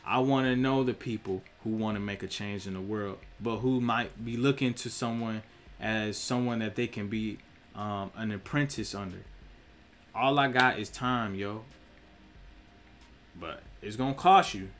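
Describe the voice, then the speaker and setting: A man's voice: fairly low pitch, a little slow, a bit bright. A young man, streaming from a small room, preaching about spirituality in a direct, profane way. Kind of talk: casual spiritual monologue.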